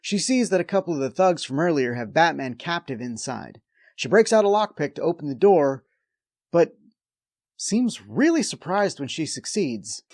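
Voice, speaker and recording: A man's voice: on the high side, sing-song, moderate pace, a little mellow, dry recording. A man hosting a review show, delivering scripted comedic recap narration with a wry, sarcastic edge.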